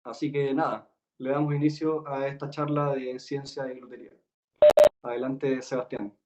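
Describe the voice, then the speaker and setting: A man's voice: mid-range voice, level tone, moderate pace, a little mellow, highs cut off. A man hosting an online talk, introducing the guest speaker in a hesitant way, with many fillers and self-corrections, over a video call. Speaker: host and event organizer.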